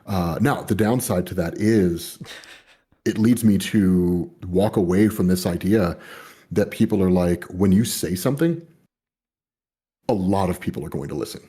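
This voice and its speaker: Low, mellow-voiced man chatting loosely. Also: a little slow. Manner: rambling, many fillers and "you know"; swears casually. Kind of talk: casual conversation.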